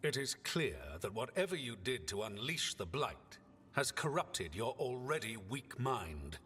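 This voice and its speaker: An actor playing a stern, dismissive military commander in a video game, speaking with pompous, deliberate authority. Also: male voice, medium pitch, very animated, sharp tone, slight background noise. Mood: stern, accusing. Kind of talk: dramatic video game dialogue. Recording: long reverb, music behind.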